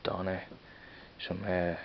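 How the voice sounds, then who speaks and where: A man in his thirties with a Dublin accent, rambling casually and halting over his words in an off-camera vlog. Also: low voice, monotone. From Ireland. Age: 30s. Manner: rambling, halting, many restarts. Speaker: vlogger. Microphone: camera mic, filming a screen. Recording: dull lo-fi sound with faint hiss.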